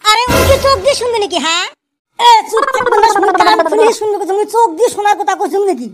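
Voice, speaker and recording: An extremely high, squeaky cartoon village lad with an artificially raised voice. He is cheeky and argumentative, bantering over loud music. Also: lively intonation, rapid-fire. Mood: teasing banter. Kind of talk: comic cartoon dialogue.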